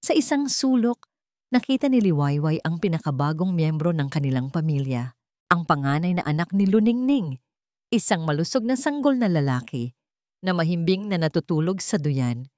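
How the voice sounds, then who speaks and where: A woman reading a sentimental love story as a scripted narration, in a measured, earnest storytelling manner. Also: low-pitched, very animated, mellow, no echo, studio quality.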